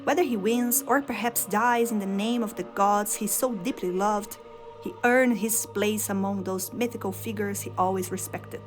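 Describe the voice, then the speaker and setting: A woman whose first language is Portuguese tells game lore at a steady, deliberate storytelling pace, laying out each idea in short phrases. Her voice sits at an ordinary middle pitch for a woman. Soft music plays faintly underneath. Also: rough recording. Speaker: video game lore narrator. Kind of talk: scripted lore narration.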